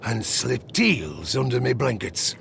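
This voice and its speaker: An actor playing a grizzled old sailor, gruffly telling children a dark bedtime tale in an audio drama. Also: male voice, medium pitch, big pitch swings, slight background noise, heavily acted. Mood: indignant. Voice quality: gravelly. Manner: theatrical, gruff, suspenseful storytelling with dramatic pauses.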